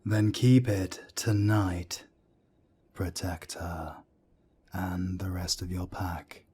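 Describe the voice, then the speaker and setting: A man performs a calm werewolf mentor for an audio drama. His voice is deep, dark and husky, with a soft breathy edge. He speaks in a measured, reassuring way, like a firm older councillor advising a friend. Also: full character voice. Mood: low, firm. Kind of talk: scripted roleplay audio drama.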